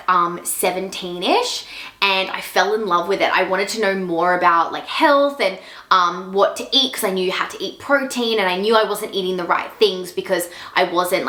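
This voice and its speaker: Woman, 20s, casual vlog chat, bright tone, roomy echo. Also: mid-range voice. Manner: chatty, many fillers like "like" and "um". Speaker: fitness content creator.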